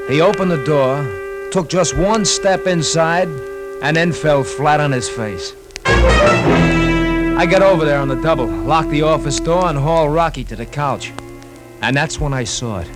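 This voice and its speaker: An actor playing a chatty, nervous, loyal American barkeep in a 1950s radio drama, talking in a folksy, hesitant and excitable way. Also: male voice, medium pitch, natural voice, low-quality recording. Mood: urgent narrating. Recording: old radio broadcast with music.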